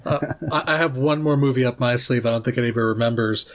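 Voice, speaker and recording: A man's voice: mid-range voice, quick pace. A man chatting casually as a panelist on a podcast. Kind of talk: casual panel chat about films.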